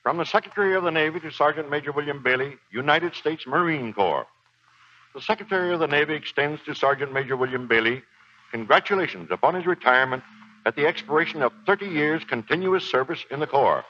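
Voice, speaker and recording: Dignified, higher-pitched Marine officer. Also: male voice, animated, bright, forceful tone. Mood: solemn, official. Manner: measured, official reading. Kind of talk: 1940s radio acting. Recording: dull 1940s radio broadcast.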